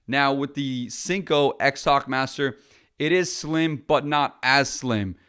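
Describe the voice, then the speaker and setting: A man's voice: medium pitch, a bit bright, clear, resonant tone. A man, a filmmaker, chatting casually and bluntly through a product review.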